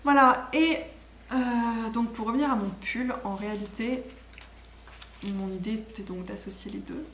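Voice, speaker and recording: A woman's voice: a little high, a little slow, a bit bright. A woman chats casually and enthusiastically as host of a knitting podcast, rambling and correcting herself as she goes. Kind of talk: casual video podcast chat. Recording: echoey room with faint background noise.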